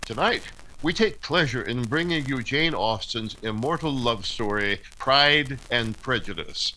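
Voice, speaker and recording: A man's voice: medium pitch, expressive, a little slow, sharp tone, slight background noise, low-quality recording. A man announcing and narrating in a theatrical 1940s radio style.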